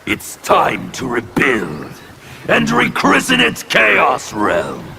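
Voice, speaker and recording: An actor playing a menacing undead revolutionary warrior in a video game, with a gravelly, distorted rasp and intimidating, declamatory delivery. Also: male voice, medium pitch, wildly swooping pitch, slow, background noise, heavily acted. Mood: cold threat. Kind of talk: video game villain dialogue.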